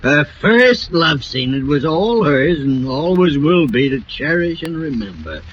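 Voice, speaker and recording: A kindly older small-town mayor in a 1940s radio drama. His voice runs a little high and swings widely in pitch, wry and folksy, on a muffled recording with light noise. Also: big pitch swings, unhurried. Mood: gently teasing.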